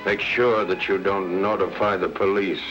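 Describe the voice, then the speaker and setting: A man's voice: low voice, level tone, normal speed. An actor playing a threatening, bitter man who gives orders, in an old-time radio crime drama. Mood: cold menace. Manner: commanding, menacing. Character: threatening, bitter, disfigured man protecting his sister. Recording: muffled old radio recording, loud music.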